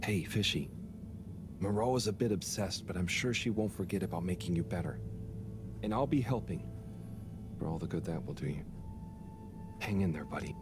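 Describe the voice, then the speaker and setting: A man's voice: low-pitched, animated, normal speed, pauses often. An actor playing a nervous, apologetic young man who rambles earnestly, over game music. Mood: gentle. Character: timid, earnest young man, anxious helper. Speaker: lab helper.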